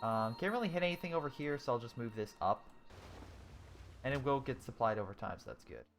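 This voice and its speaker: A man's voice: medium pitch, lively intonation, brisk, soft background music. Young man musing over a strategy game. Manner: thinking aloud, frequent ums and self-corrections. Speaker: gaming YouTuber. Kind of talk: casual let's-play commentary, reading chat.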